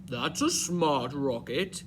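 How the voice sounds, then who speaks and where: A friendly gentleman owl from a picture book, played by a man. He speaks slowly and emphatically, with courteous measured phrasing. The recording carries clear noise. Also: medium pitch, lively intonation. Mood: friendly, interested. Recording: clearly noisy background.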